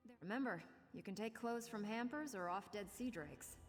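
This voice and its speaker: An actress voicing a bold, brisk female adventurer in scripted fantasy game dialogue, confident as she gives orders and advice. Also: medium pitch, long reverb tail, faint music behind, lightly acted. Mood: brisk, instructive.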